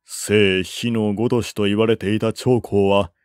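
Male narrator, historical novel reading, unhurried pace, dry studio-like sound. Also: on the low side, steady tone, darkish tone, no room sound. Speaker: audiobook narrator. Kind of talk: dramatic literary narration of a historical war novel.

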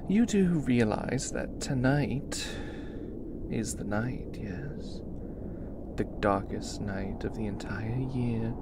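A man acts out a theatrical male vampire in an ASMR roleplay, speaking slowly over very noisy background ambience. He is aloof and teasing, stretching his phrases and often sinking to a breathy near-whisper. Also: fairly low pitch, heavily acted. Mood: teasing menace. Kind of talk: ASMR roleplay, slow scripted monologue.

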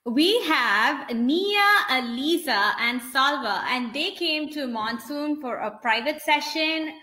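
A woman's voice: high voice, animated, takes it slowly, bright, forceful tone. A young woman chatting casually as she co-hosts a virtual recital over a video call. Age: young adult. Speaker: co-host of a virtual dance recital. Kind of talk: casual chat, presenting. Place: indoor room, video call. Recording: echoey room on video call.

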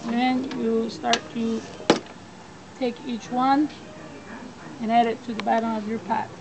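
Woman cooking instructor, fairly high voice, talks through each step in plain words; outdoor noise behind her. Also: little pitch movement, takes it slowly, creaky. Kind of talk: cooking demonstration. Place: backyard outdoors.

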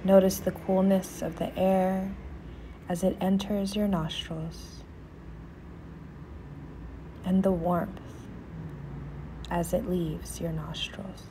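Woman meditation guide, low-leaning soft voice, very unhurried, some background noise. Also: level tone.

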